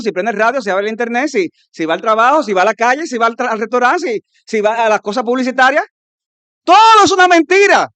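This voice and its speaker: A Dominican man preaches a conference sermon in a fairly high voice, driving his points home with sudden loud peaks. He acts out his listeners' objections in quick mimicking asides. The sound is dry, with little room echo. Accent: Dominican Spanish. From Dominican Republic. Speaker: pastor.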